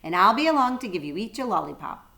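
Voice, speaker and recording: A woman voicing a polite, quick-witted young boy in a children's storybook, courteous and persuasive. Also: mid-range voice, sing-song, vocal fry, pushed and bright, full character voice. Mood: businesslike, courteous.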